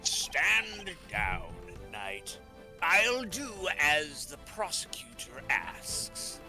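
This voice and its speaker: A man voicing a pompous president in a video game fan dub, with florid, drawn-out oratory. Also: on the high side, wildly swooping pitch, music in the background, pitched below the performer's own voice, full character voice. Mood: imperious. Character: pompous grandiose male president, bombastic politician. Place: hobby live-streamed voice session.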